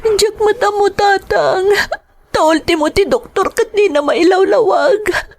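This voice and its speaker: A woman with an Ilocano accent narrating a drama and playing a worried mother, speaking softly and anxiously, sometimes tearful. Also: extremely high pitch, slow pace. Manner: anxious, tearful, soft-spoken.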